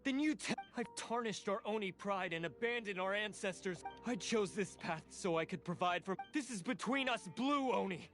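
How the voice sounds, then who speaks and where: A proud young oni with a fairly high, sharp voice. He is curt, bitter and defiant, a video game character over faint music. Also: lively intonation, moderate pace, few pauses. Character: bitter, proud young male oni, grimly self-sacrificing.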